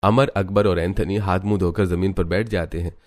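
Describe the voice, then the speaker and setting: A man's voice: low-pitched, fairly even intonation, moderate pace, darkish tone, no echo, soft background music. A man reading scripted storybook narration for a cartoon.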